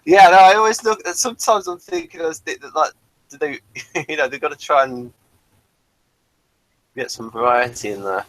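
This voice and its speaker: A man hosting a casual TV review as a keen fan, speaking in a halting way with restarts. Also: a little high, fairly bright tone, low-quality recording. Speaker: host of a TV review show.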